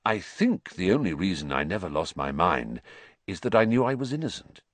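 A man playing a bitter, vengeful male wizard who growls his words low and with venom. The voice sits deep but moves with lively swings, and the sound is dry and close. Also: moderate pace, no echo. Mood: brooding.

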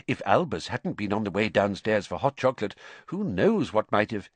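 Storybook lady professor, acted by a man: older, sober, shaken, brisk, dryly recorded. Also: fairly low pitch, very animated, no echo.

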